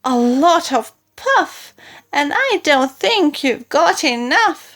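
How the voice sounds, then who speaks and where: A woman's voice: high-pitched, sing-song, slow pace, fairly bright tone, pitched above the performer's own voice, full character voice. A woman voicing a small male piglet from a children's storybook, frightened yet cheeky, squealing, pleading and indignant.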